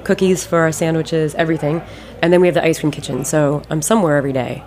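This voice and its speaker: American woman late 30s, low voice, talks really fast, casual n emphatic interview chat, repeats herself for emphasis. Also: fairly even intonation, darkish tone. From Ohio, United States. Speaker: ice cream maker and business owner, interview guest.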